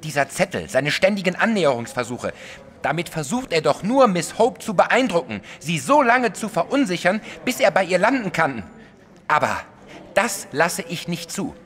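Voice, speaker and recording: A man's voice: high-pitched, sing-song, moderate pace, a bit bright. An actor playing a small, self-righteous, jealous male artist in an audio drama, vehement and defensive in manner. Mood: agitated. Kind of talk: German audio drama acting.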